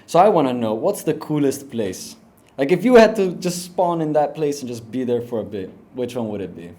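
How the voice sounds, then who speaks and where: A man's voice: mid-range voice, sing-song, brisk, dark tone. Laid-back young male podcast host. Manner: upbeat, slangy, rambling with restarts. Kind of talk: casual podcast chat.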